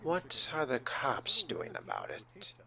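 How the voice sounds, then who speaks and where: A man role-playing a cool, courteous vampire fledgling in a video game, speaking in a measured, dry way with some breathiness. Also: mid-range voice, huge pitch swings, creaky, pushed and bright. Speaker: player voicing his vampire character.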